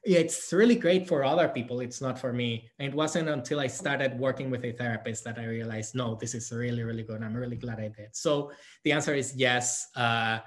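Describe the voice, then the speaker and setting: A man from Guatemala answering audience questions at a conference session, thoughtful and halting, with many fillers and restarts. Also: medium pitch.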